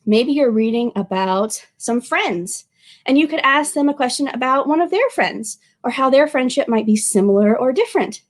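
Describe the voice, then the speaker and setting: Animated, high-pitched woman reading for children. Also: moderate pace. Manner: animated, expressive, with exclamations and questions to young listeners. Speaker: children's librarian reading aloud. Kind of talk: storytime picture-book reading and friendly address to children.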